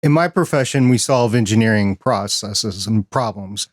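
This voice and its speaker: A man from the American Midwest hosting a podcast, speaking in an earnest, measured way. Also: on the low side, dry recording, clean studio sound. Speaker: podcast host. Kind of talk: casual interview chat.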